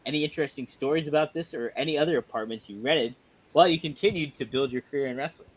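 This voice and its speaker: A man's voice: a little high, quick pace, husky, dull audio. A man co-hosting a podcast in casual chat, reacting readily and interjecting in slangy bursts. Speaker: podcast co-host.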